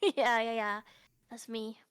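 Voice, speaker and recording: A woman's voice: fairly high pitch, steady tone, takes it slowly, fairly bright tone, smooth. A woman guest in a casual chat, laughing often and rambling in slangy speech. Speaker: guest streamer.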